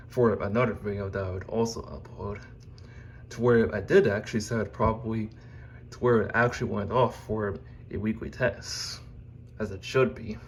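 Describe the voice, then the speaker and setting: A man presenting his collection in an informal, unscripted home video, speaking in halting, meandering fragments into a phone camera. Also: fairly low pitch. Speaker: YouTuber presenting own collection. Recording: clear background noise and room ambience.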